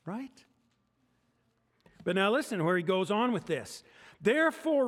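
Man, American accent, pastor, conversational sermon, slightly high pitch, long hall reverb. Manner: conversational, jokes with the congregation. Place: church sanctuary.

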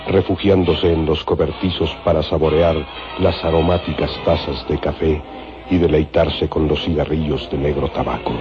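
A man delivering solemn, dramatic scripted narration for a radio serial. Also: low voice. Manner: solemn, descriptive storytelling. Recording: dull radio recording with music.

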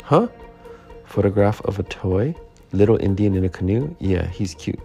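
A man's voice: lowish voice, animated, moderate pace, gravelly, warm tone, soft background music. A man voicing a fussy, impatient city sportsman in a storybook reading. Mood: casual. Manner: fussy, complaining.

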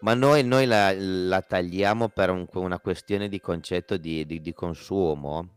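A man's voice: sits low, measured pace, clear, resonant tone, no room sound, faint music behind. A man playing a grumbling, freeloading duke with a Piedmontese accent, rambling and blunt in an improvised comedy. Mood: rambling, smug. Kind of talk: improvised costume radio comedy.

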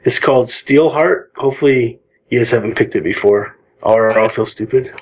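A man's voice: mid-range voice, moderate pace. A man on a podcast panel, chatting in a light, joking way and laughing often. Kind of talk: casual podcast chat.

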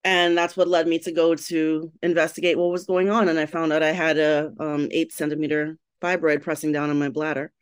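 Woman from Trinidad, podcast guest: open, lively storyteller with a crisp, clear voice. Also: sits low, brisk, hardly any pauses, no echo, studio quality. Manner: animated, laughs often, candid storytelling. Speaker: podcast guest, writer and blogger. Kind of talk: casual interview chat.

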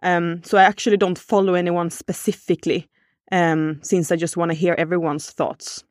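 A woman's voice: lowish voice, flat delivery, moderate pace, no room sound, studio quality. A woman, chatting casually on a podcast and thinking aloud with fillers.

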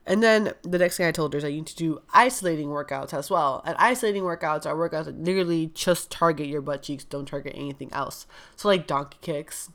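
A woman's voice: sits low, fast talker, few pauses, a bit bright. A young woman wellness coach talking casually and frankly, with a stuffed-up nose, full of "like" and "um". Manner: chatty, frank, heavy use of "like" and "um". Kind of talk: casual podcast talk.

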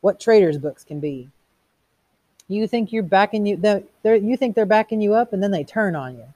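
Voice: mid-range voice, lively intonation, moderate pace, a little mellow, no room sound, voice pushed up, lightly acted. A reader voicing a mocking, erudite male fire captain who declaims quotations theatrically in a storybook reading. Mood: gloating. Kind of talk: audiobook character reading.

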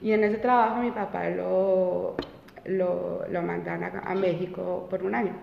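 A woman's voice: lowish voice, steady tone, a little slow, vocal fry, a little hiss or hum. A woman in her twenties, an interview guest telling her own story casually, with many fillers and restarts. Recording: roomy, reverberant, dull sound.

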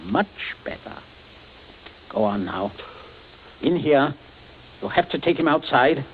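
A man's voice: mid-range voice, sing-song, slow pace. An actor playing a genteel, menacing middle-aged father in a radio drama, giving polite, clipped orders with false joviality. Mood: menacing, controlled. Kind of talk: old-time radio drama acting. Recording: tinny band-limited old radio, faint noise.

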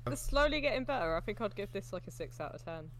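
Blunt woman with a medium-pitched voice. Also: very animated, fast talker. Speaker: podcast co-host. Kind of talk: casual film reaction chat.

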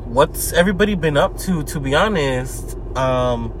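A man of twenty-five talks while driving, loose and rambling with plenty of swearing and fillers. He is a little sick, which makes his voice sit deeper than usual, though it still sits somewhat high for a man. The car's road noise rumbles clearly behind him. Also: expressive, moderate pace. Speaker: podcast host. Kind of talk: casual talking-to-camera vlog. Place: inside a car while driving. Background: car road noise.

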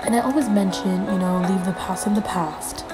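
A young woman hosting a casual solo podcast, a little congested from being sick, rambling with lots of fillers as she thinks out loud. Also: mid-range voice, normal speed, over loud music. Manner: rambling, filler-heavy, thinking aloud while flipping pages. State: congested from a recent illness. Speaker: podcast host.

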